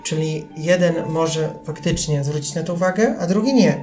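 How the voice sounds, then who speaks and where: A man's voice: on the high side, moderate pace, darkish tone, faint music behind. A man chatting casually on a podcast as the invited expert, ironic and joking as he talks.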